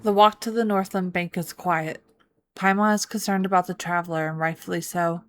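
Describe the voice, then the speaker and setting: A woman's voice: lowish voice, steady tone, normal speed. A woman reading scripted narration of a video game story as a storyteller. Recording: voice-over with music bed. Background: music.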